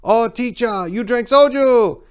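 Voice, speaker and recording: Man putting on a high, excitable Korean-accented student. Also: unhurried, smooth. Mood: eager, chummy. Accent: put-on Korean. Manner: excitable, endearing enthusiasm. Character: eager, friendly adult Korean student of English.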